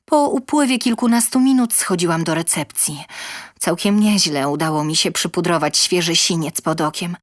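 A woman reading a scripted first-person short story aloud with expressive, dramatic delivery. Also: lowish voice, normal speed, no echo, studio quality. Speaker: story reader.

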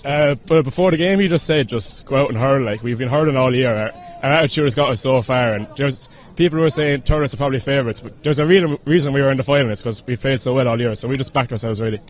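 A teenage man with an Irish accent, a school hurler speaking earnestly into a handheld mic outdoors on a stadium pitch. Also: fast, hardly any pauses. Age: teens. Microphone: interviewer's handheld mic.

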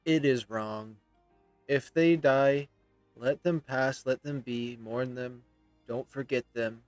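A man's voice: mid-range voice, fairly even intonation, slow, dry recording. A man in his thirties giving casual, opinionated, emphatic commentary as he plays a video game. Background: faint game music.

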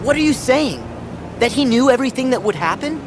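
A man's voice: very high-pitched, animated, a little fast, background noise. An actor playing an earnest, plucky teenage boy hero in a video game, bewildered yet defiant. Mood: confused. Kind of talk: video game cutscene acting.

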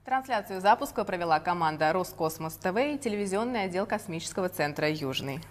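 Lowish-voiced woman presenting a broadcast. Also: animated, brisk. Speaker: broadcast co-host. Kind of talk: scripted live broadcast presenting. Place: TV studio. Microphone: lapel mic.

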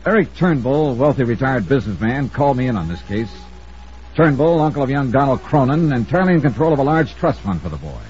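An American actor playing a brisk, wry freelance insurance investigator in a clipped, businesslike 1950s radio style. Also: male voice, medium pitch, big pitch swings, a little mellow, lightly acted. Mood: brisk, punchy. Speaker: star of a radio detective serial. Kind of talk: 1950s radio acting, first-person narration. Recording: dull old radio recording.

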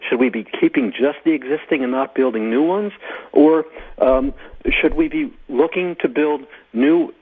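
A man's voice: fairly high pitch, normal speed, breathy edge. An American man calling a radio show by phone, asking questions in an earnest, formally worded way. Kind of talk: measured question to a radio guest. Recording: tinny, narrow phone line.

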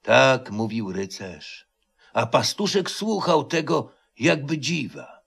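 A man reading a literary legend as a solemn, deliberate dramatic narration. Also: medium pitch, expressive, creaky, a bit bright, breathy edge. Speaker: storyteller, reader.

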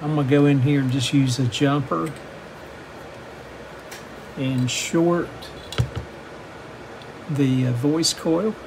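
A man gives a hands-on technical walkthrough at an unhurried pace. His delivery is flat and matter-of-fact, moving step by step at a medium pitch. A steady air-conditioning-like noise runs clearly behind his voice. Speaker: host of a radio repair channel. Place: indoor workbench.

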